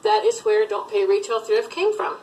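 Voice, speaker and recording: A woman's voice: a little high, very animated, moderate pace, a little mellow, a little hiss or hum, rough recording. A middle-aged woman, raised in Alabama, who runs a thrift resale channel, chatting casually and rambling with many fillers. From Southern US, Alabama. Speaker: thrift reseller vlogger. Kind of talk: casual tag video chat.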